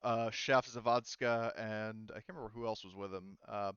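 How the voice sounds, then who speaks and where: A man's voice: lowish voice, fairly even intonation, moderate pace, a bit bright, clear, resonant tone, no echo, lo-fi sound. A man acting as game master, casually explaining and narrating a tabletop game in a hesitant way.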